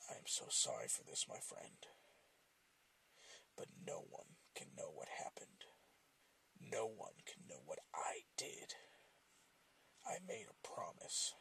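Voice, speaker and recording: A man doing a quiet, grave, sinister cloth puppet. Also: mid-range voice, expressive, creaky, slight background noise. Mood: whispered menace. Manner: quiet, grave, slow and affectionate.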